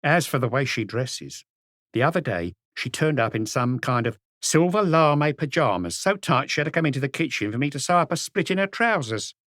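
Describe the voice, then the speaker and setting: A man's voice: mid-range voice, sing-song, moderate pace, fairly bright tone, dry recording, studio quality, pitched above the performer's own voice. A man voicing a plump, gossipy middle-aged woman who runs a café, in an audiobook reading. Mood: gossiping, scornful. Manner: chattering, scornful, indignant.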